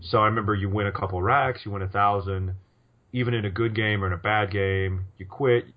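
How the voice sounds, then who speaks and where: A man's voice: fairly low pitch, fairly even intonation, brisk, lo-fi sound. A man co-hosting a poker podcast in casual chat, rambling with lots of restarts and fillers. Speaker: podcast co-host.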